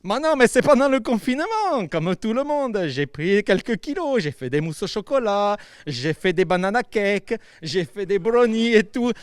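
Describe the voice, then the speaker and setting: A bubbly mustached puppet chef, as a man puts him on, with a high voice that leaps around and a hammy fake Italian accent. He stumbles over his words and loves being the star. The sound is close and dry. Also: sing-song, moderate pace, hardly any pauses, clean tone, no echo. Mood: cheery. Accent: put-on Italian. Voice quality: stammering, bubbly. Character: cheerful mustached male puppet chef, boastful. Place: outdoors by the seafront.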